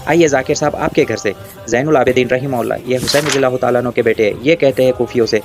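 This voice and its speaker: Guy doing a confrontational religious rebuttal, talks fast, music playing under it. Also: medium pitch. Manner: taunting, confrontational, directly addressing an opponent. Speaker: religious debater giving a rebuttal. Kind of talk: argumentative rebuttal commentary.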